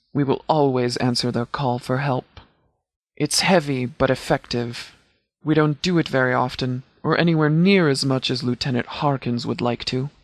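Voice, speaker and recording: A man voicing a composed video game law officer who speaks formally and precisely, with a dry, deadpan manner. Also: mid-range voice, no echo, close to an everyday voice. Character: composed, formal male sheriff's department commander, strategic and dry. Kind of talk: video game dialogue voice lines.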